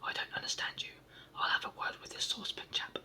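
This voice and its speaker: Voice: fast, bright, forceful tone. A reader whispering the part of an impatient male station master in a storybook.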